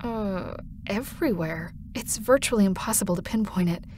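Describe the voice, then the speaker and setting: An actress playing a polite, dutiful station system monitor with a proper manner in an audio drama. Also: female voice, medium pitch, moderate pace, darkish tone, a little hiss or hum, lightly acted.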